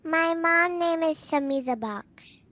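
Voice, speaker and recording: A woman's voice: very high voice, takes it slowly, pushed and bright, no room sound. A seven-year-old girl answering questions shyly in single words. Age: child. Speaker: guest's young daughter.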